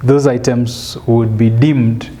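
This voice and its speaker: A man in his thirties with a Kenyan accent, a guest in a studio interview, telling stories in a measured way with fillers and restarts, on a lapel mic. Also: mid-range voice, fairly even intonation, mellow. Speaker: guest, author and business speaker. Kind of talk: storytelling interview answer. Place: studio set, seated in an armchair.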